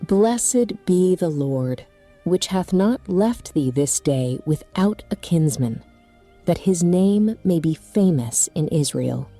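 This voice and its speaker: Woman acting a slow, reverent village woman. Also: on the low side, lively intonation, warm tone, faint music behind, full character voice. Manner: reverent, measured. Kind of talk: dramatized scripture reading.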